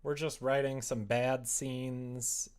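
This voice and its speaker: A man's voice: medium pitch, level tone, slow, a little mellow, clear, resonant tone. A young man hosting a livestream, chatting casually with a self-deprecating, sardonic, grumbling manner. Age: young adult. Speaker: livestream host. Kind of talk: casual livestream chat while working.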